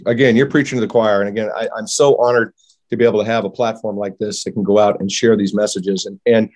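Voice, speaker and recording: A man's voice: on the low side, fairly even intonation, quick pace, a little mellow. A man hosting an online talk show, effusive and upbeat as he welcomes and agrees with his guest. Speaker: host. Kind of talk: enthusiastic show host.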